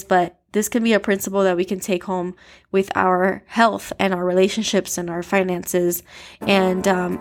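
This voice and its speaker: Congested American woman hosting a devotional. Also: medium pitch. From Miami, Florida, USA. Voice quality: slightly nasal, congested. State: stuffy, congested nose. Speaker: devotional podcast host. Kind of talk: conversational devotional talk and prayer. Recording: music bed behind the voice. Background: background music.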